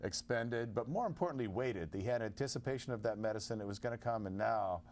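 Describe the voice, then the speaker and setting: Measured low-voiced man explaining. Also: lively intonation, a little mellow, a little hiss or hum. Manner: measured, explanatory, many uhs. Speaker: co-host. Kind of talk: casual call-in chat.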